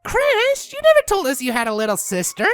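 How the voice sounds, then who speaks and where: A woman voicing a bubbly, excitable young female pegasus athlete who gushes gleefully in a story. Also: extremely high pitch, moderate pace, no room sound, faint music behind, voice pushed up. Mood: excited. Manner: gushing, gleeful.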